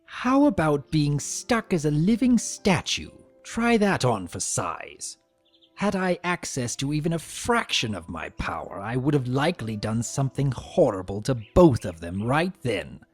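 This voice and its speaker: A man voicing a vain, spiteful, sarcastic trickster creature trapped as a statue, telling its story with theatrical, sneering, drawn-out emphasis. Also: mid-range voice, very animated, slightly rough. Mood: seething, gleefully spiteful. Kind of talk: dramatic first-person story reading. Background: music.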